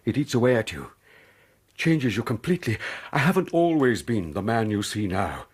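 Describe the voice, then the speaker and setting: An actor in a British radio drama playing a guilty middle-aged gentleman schemer, remorseful and lovelorn, with a husky British voice and a halting, earnest manner. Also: on the low side, sing-song. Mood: remorseful pleading.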